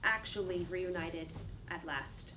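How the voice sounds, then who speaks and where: A woman's voice: on the low side, slow pace, sharp tone. A woman reading stage directions as a scripted dramatic narrator. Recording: distant mic, faint background noise.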